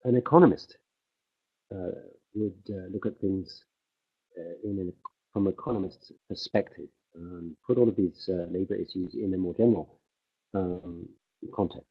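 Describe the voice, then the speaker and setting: A man interpreting a conference speech on the fly, halting often with fillers as he keeps up with the speaker. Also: sits low, breathy edge. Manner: halting, many fillers and restarts while keeping pace with the speaker. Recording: tinny phone-like livestream audio.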